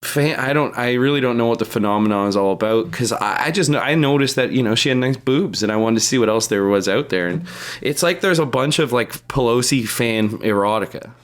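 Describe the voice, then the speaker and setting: A man's voice: medium pitch, fairly quick. Crude, casual man in his early thirties. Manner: crude, heavy swearing, slangy. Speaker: podcast co-host. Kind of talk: casual comedic chat, telling anecdotes.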